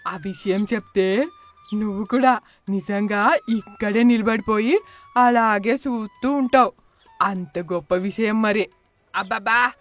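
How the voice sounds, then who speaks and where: A woman's voice: medium pitch, a bit bright, close to an everyday voice. A woman voicing gossiping village women in a cartoon moral story, teasing and curious, with exaggerated delivery. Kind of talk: animated moral story dialogue.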